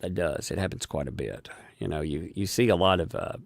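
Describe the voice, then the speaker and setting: A man hosting a casual live stream, thanking viewers for donations and rambling with frequent restarts and chuckles; he has a gravelly voice. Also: low voice, fairly quick, no echo.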